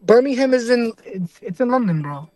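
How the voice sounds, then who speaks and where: A man's voice: very high voice, lively intonation, moderate pace, warm tone, no room sound. A young man in a casual debate, explaining earnestly, often restarting his sentences and checking in with "right?" and "bro.". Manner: earnest, repeats and restarts, keeps asking "right?"; casual slang, calls listener "bro".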